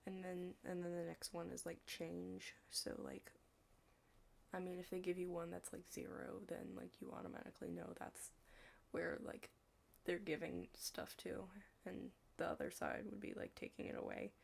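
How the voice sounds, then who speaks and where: Creaky young woman rambling in casual ASMR. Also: on the low side, lively intonation. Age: young adult. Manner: rambling, halting, heavy use of "like". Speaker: ASMR roleplay creator playing a study partner. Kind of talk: ASMR roleplay, casual chat.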